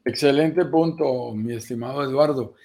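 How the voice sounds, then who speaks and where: A man explains property investment over a live video call. His delivery is measured and persuasive, and he walks through each point as a list. His voice sits in an ordinary middle pitch. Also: creaky, warm tone. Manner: measured and persuasive, speaks in lists. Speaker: real estate investment advisor. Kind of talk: explanatory live-stream talk.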